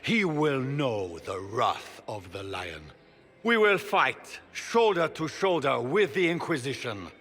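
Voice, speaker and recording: An actor playing a proud soldier emperor, giving a grand, measured speech in a video game cutscene. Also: male voice, mid-range voice, very animated, a bit bright, exaggerated voice. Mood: solemn. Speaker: newly crowned emperor. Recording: long hall reverb with music.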